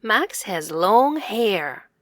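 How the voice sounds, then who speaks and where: A woman's voice: mid-range voice, sing-song, extremely slow, no echo, clean studio sound. A woman reading a children's story aloud in simple, separated phrases with a teacherly manner.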